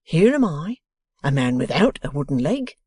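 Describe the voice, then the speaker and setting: A reader voicing an excitable, uneducated retired old man who exclaims loudly, mangles his words and swings through a wide range of pitch. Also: normal speed, husky, dry recording, studio quality. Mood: eager. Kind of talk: audiobook character reading.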